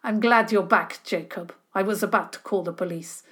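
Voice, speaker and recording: A woman's voice: medium pitch, normal speed, a bit bright, lightly acted. Woman doing a precise, strict male tutor. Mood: stern. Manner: precise and clipped.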